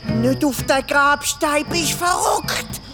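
An actress playing an anxious, excitable medieval peasant boy with a goat, pleading and scolding in Swiss German in a children's audio drama. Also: high voice, slow pace. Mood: alarmed. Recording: loud music bed behind voice. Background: music.

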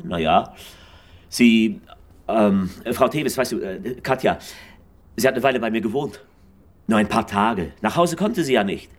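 An actor playing an insecure, lovestruck detective, stammering and full of self-reproach, in a German radio crime drama. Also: male voice, lowish voice, expressive, measured pace, long pauses. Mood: sheepish. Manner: flustered, stammering.

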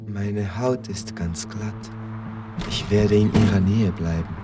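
A man's voice: lowish voice, slow pace, over loud music. A man playing a dazed young steward in a radio play, speaking as if in a trance. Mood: entranced. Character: dazed young male steward, eerily entranced.

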